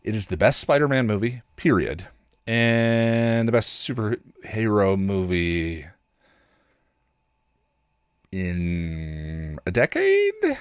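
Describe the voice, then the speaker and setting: A man's voice: on the low side, dry recording, studio quality. A man hosting a podcast, giving casual recommendations in an enthusiastic, rambling way, thinking aloud as he goes. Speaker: podcast host recommending shows. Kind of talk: casual chat, streaming recommendations.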